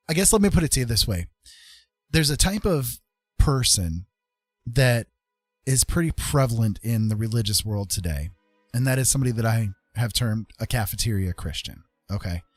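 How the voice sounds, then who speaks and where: A man's voice: medium pitch, dry recording. A man hosting a casual livestream, talking in an emphatic, opinionated, conversational way and often checking in with the listener. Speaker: livestream host.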